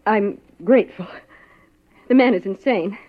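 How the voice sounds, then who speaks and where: A woman's voice: medium pitch, measured pace, lightly acted. An actress playing an anxious, guarded married woman in a 1950s radio detective drama, speaking formally and dramatically. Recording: muffled old radio recording.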